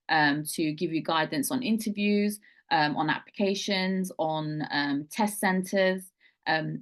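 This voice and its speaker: A woman hosting an online webinar, giving earnest career advice to students with many ums and repeated words for emphasis. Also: fairly low pitch. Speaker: webinar host, mentor.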